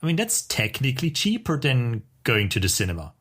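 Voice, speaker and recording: A man's voice: mid-range voice, wildly swooping pitch, brisk, fairly bright tone, slightly rough. A man co-hosting a podcast in casual conversation, with an opinionated, wry way of talking. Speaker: podcast co-host.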